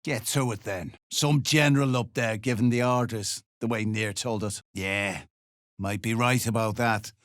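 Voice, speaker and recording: An actor playing a hard-bitten, threatening duergar dwarf in a video game, gravelly and curt, with coarse slang. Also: male voice, medium pitch, no room sound, studio quality, natural voice. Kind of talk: video game character lines.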